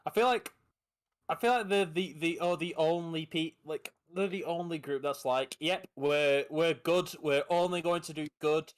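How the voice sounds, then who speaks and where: A man's voice: a little high, moderate pace. A man co-hosting a casual podcast, dry and sarcastic in his banter. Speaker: podcast co-host. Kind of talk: casual chat.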